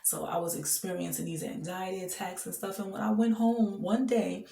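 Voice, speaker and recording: A woman's voice: mid-range voice, steady tone, normal speed, vocal fry, mellow, roomy sound. A woman telling her faith testimony in an earnest, emphatic way, often laughing between thoughts. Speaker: person sharing a faith testimony. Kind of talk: heartfelt personal testimony, casual.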